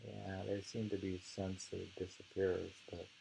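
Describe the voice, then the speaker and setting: A man with a very low, creaky voice reads aloud and comments informally. He is halting and musing, often pausing. The recording has some ambient room noise. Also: level tone, warm tone, rough recording. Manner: halting, musing, with pauses and hums. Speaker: reader and discussion host.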